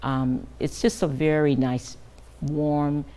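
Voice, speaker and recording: Very low, dark-voiced older woman. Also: level tone. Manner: earnest, measured, with frequent fillers. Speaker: guest, director of a children's camp. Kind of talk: TV studio interview. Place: TV news studio.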